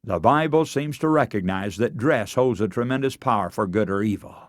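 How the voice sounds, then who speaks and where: A man with an American accent preaching a prepared radio sermon in an earnest, emphatic, deliberate oratorical manner. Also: fairly low pitch, no echo, studio quality.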